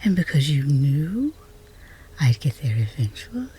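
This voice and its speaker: A woman playing a flustered, lovestruck chess rival in a romance roleplay ASMR, whispery and intimate, with halting, teasing delivery. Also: low voice, big pitch swings, warm tone, a little hiss or hum, rough recording. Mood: puzzled, probing. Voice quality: breathy, whispery.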